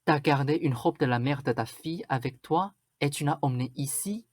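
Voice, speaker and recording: A woman's voice: sits low, moderate pace, darkish tone, dry recording. A woman playing a bossy, vain, suspicious stepmother in a radio drama, with a scolding, insistent manner. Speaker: student actor.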